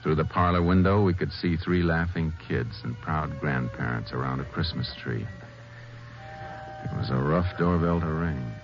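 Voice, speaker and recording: Very deep-voiced, determined Texas Ranger. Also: male voice, normal speed, over music. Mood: grim resolve. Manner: terse, grave, courteous. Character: determined, plain-spoken Texas Ranger investigator, adult man. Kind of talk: 1950s radio western acting and narration. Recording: muffled, noisy 1950s radio recording.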